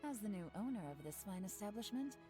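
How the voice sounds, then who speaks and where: An actress playing a cool, confident female covert agent in a video game, wry and brisk. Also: medium pitch, expressive, lightly acted. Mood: breezy. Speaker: game character. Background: game soundtrack music.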